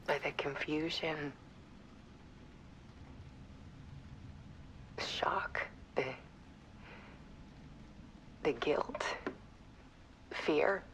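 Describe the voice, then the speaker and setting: An actress playing a grieving, obsessive photographer in an introspective game monologue, breathy and half-whispered. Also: female voice, low-pitched, fairly bright tone, a little hiss or hum, full character voice, low-quality recording. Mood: subdued grief. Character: grieving, obsessive woman photographer confessing her fear.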